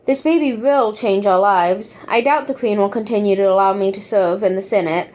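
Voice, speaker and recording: A woman's voice: mid-range voice, moderate pace, a little mellow, natural voice. A woman playing a loving, anxious young expectant mother in a parody audio drama. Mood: worried, tender.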